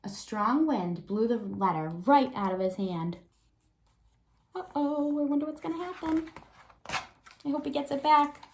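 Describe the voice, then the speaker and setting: A woman's voice: high-pitched, lively intonation, measured pace, long pauses, darkish tone, a little hiss or hum. A woman, an elementary teacher giving a read-aloud lesson to young children and thinking aloud with prompting questions.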